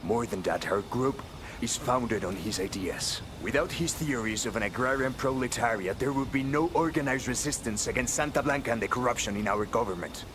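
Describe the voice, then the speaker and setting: An actor plays a male rebel leader in an action game, stern and impassioned. His voice sits at a medium pitch and he speaks with urgent, rhetorical force. Vehicle noise is clearly audible around him. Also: animated, brisk, lightly acted. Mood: urgent, earnest. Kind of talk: cinematic game dialogue.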